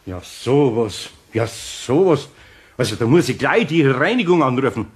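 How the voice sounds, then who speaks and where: A man playing a good-natured, grumbling older master carpenter with a husky voice and a Bavarian accent, muttering and flustered, in a children's radio play. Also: mid-range voice, expressive, measured pace, soft background music. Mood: dismayed, grumbling.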